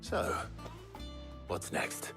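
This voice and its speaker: An actor playing a stoic, dependable fantasy hero in a video game, with a terse, measured, dry way of speaking. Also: male voice. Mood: calm, inquiring. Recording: loud music behind the voice.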